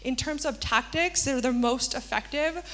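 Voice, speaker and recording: A woman's voice: high voice, moderate pace, a bit bright. A young woman from Argentina giving a workshop talk on a small stage into a handheld mic, earnest and peppered with "um" fillers. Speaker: workshop presenter. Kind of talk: conference talk. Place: small stage in a darkened hall with a projection screen.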